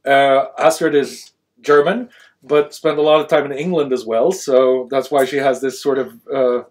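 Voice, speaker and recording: A man's voice: medium pitch, steady tone, normal speed, darkish tone. A man with a mixed European-American accent hosting a live-stream panel chat in a small studio. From Sweden and France. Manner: chatty, jumbled phrasing. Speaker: host. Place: small studio with a world map.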